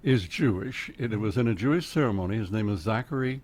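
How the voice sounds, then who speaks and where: A man's voice: on the low side, animated, fast, darkish tone. An older man with an Oklahoma accent, telling rambling, chatty stories as a radio guest. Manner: rambling storyteller, laughs often, jumps between topics. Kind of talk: casual radio chat. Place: radio studio.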